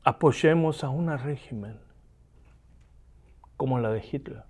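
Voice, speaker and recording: A man's voice: mid-range voice, slow pace, darkish tone, slightly rough. A man from Argentina with an Argentine accent, giving a classroom lecture and often asking the students rhetorical questions. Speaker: seminary lecturer.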